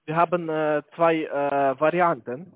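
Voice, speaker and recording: A man with a foreign accent speaks in a fairly high voice over a thin, tinny phone line. He works through a sales pitch as a call-centre salesman, haltingly searching for his words as a non-native speaker. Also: steady tone. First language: not German. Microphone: telephone.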